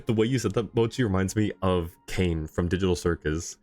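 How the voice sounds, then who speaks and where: A man's voice: sits low, brisk, darkish tone, soft background music. A man live streaming a game, chatting casually with viewers in an easygoing, rambling way and reading comments aloud. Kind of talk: casual chat with viewers while gaming.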